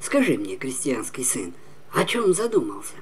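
A man's voice: medium pitch, huge pitch swings, moderate pace, slightly rough, heavily acted. An elderly man voicing a knowing, coaxing old peasant woman in a storybook tale, insistent as she instructs.

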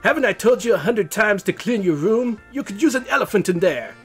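A man plays a wimpy, snooty cartoon sidekick from a storybook. He scolds in a lifted, lively voice over music. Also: high voice, a little fast, breathy edge, pitched above the performer's own voice.

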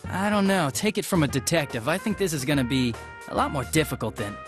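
High, animated, hapless police detective. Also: male voice, quick pace. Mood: doubtful. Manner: hesitant, informal, flustered. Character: hapless, casual young male police detective. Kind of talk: video game voice acting. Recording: music under the dialogue.

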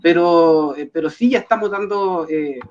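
A Chilean man hosting a boxing talk show, speaking in a measured way with many fillers and tag questions. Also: on the high side, a little mellow, muffled recording. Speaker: host.

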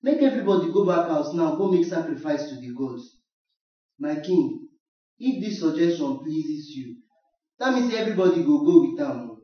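A man's voice: fairly high pitch, animated, normal speed, natural voice. A student actor, a man with a Nigerian accent, playing an insistent traditionalist village chief who pleads in a declamatory way in a radio drama. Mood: urging. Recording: dull, echoey, distant from mic.

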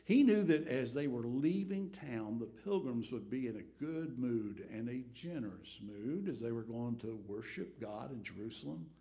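A middle-aged man preaching a storytelling sermon from a church pulpit, swinging between big acted-out crowd voices and quiet asides. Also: medium pitch, expressive, normal speed, few pauses. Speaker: pastor. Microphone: headset mic.

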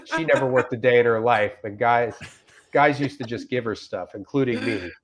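A man in casual comic chat and reading reviews aloud, rambling and deadpan, with some swearing, on a video call. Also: mid-range voice, normal speed, background noise. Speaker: comedian guest. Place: indoors on a group video call.

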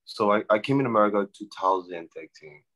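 A young man from Liberia with a Liberian accent, talking casually as a guest on a video call, halting and often repeating his words. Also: on the low side, fairly bright tone. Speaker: guest, soccer player. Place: room at home. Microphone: webcam on video call.

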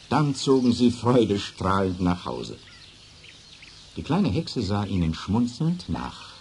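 A man narrating a scripted children's fairy tale as an expressive storyteller. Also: medium pitch, a little hiss or hum.

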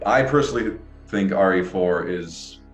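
A man's voice: low-pitched, normal speed. A young adult man interviewing over a video call, enthusiastic and rambling, often restarting his sentences. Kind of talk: casual interview chat. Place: small room, indoors. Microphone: video call, earbuds. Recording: echoey room with faint music.

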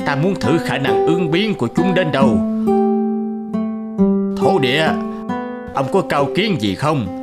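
A man voicing a stately, measured old emperor of heaven in a cartoon. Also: a little high, lively intonation. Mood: musing. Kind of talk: cartoon fairy-tale dialogue. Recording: music behind the voice.

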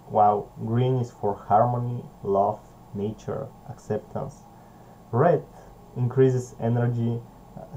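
A young man with a Bulgarian accent, not a native English speaker, leading a screen-recorded software tutorial in a halting way, with fillers and soft laughs. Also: medium pitch. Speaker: software company founder. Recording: dull, lo-fi home recording with faint hiss.

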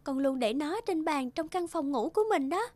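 Woman acting a high-voiced innocent young daughter. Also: lively intonation, normal speed, no echo. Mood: cheerful, innocent. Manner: soft, artless, respectful. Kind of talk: cartoon fairy-tale acting.

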